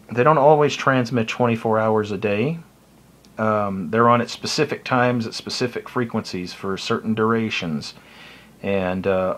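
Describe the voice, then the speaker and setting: An American man chatting casually and unscripted as he explains his hobby, in a rambling, plain-spoken and opinionated way. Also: lowish voice. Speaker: shortwave radio hobbyist giving tips.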